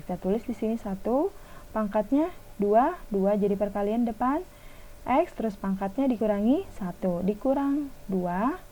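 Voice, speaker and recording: A woman's voice: a little high, warm tone, a little hiss or hum, poor audio. A woman teacher talking through a math lesson step by step, asking questions and answering them herself. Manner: patient, asks and answers her own questions.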